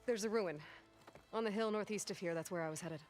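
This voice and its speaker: A woman's voice: mid-range voice, a little fast, darkish tone, soft background music, close to an everyday voice. An actress playing a determined, wry young female machine hunter in a video game, with a casual, direct manner. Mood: guarded. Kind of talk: video game cutscene dialogue.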